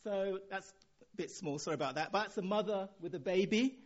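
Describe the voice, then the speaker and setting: A man from Nigeria with a Nigerian accent, preaching a sermon in a relaxed way, chuckling now and then. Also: high voice, fairly quick, highs cut off.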